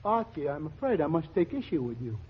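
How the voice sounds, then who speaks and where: An actor playing a dim-witted, amiable barfly, hesitant and earnest, in a 1940s radio comedy. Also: male voice, a little high, very animated, fairly quick, a little hiss or hum. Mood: earnestly confused. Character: dim-witted, amiable male tavern regular with muddled logic. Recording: dull vintage radio recording.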